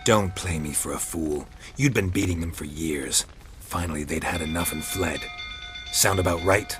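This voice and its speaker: An actor playing a stern, cynical monster hunter in a video game, with a gravelly rasp and a terse, dry, sardonic manner. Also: male voice, sits low, moderate pace, music in the background, lightly acted. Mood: accusing, cold.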